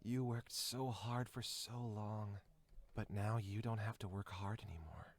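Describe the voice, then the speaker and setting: A male actor voicing a gentle, adoring ghost of a children's show host in a visual novel, smooth, soft and intimate. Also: lowish voice, steady tone, a little slow. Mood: intimate, soothing.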